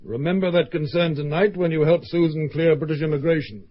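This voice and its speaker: A man's voice: fairly high pitch, fairly even intonation, fairly quick, lightly acted. An actor playing a coolly threatening male Soviet agent in a radio drama. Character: smooth menacing Soviet agent, male, cold. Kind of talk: 1970s radio drama acting. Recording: dull lo-fi old radio recording.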